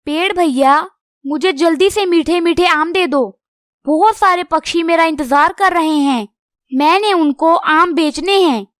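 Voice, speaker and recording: Woman voicing a tiny, very high-pitched polite bird. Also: unhurried, dry recording, clean studio sound. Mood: pleading, puzzled. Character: friendly, polite female little bird mango seller.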